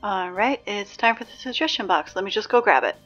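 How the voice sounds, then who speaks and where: A woman playing a sarcastic, exasperated high school announcer in a scripted audio drama, dry and emphatic in delivery. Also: expressive, brisk, fairly bright tone, dull audio, soft background music. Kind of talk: scripted fiction podcast, PA announcements.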